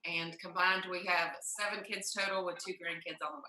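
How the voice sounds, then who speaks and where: A woman's voice: on the low side, fairly even intonation, moderate pace, sharp tone, a little hiss or hum. A chatty woman in her fifties, a former player and now a restaurant owner, introducing herself casually. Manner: chatty, laughs easily. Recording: echoey room.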